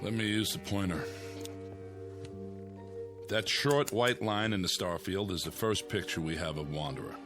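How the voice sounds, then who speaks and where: An actor voicing a stern general briefs a room in a radio drama, formal and in command. His voice is on the low side. Music runs clearly beneath him. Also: moderate pace, pauses often, close to an everyday voice. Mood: grave. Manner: formal, authoritative.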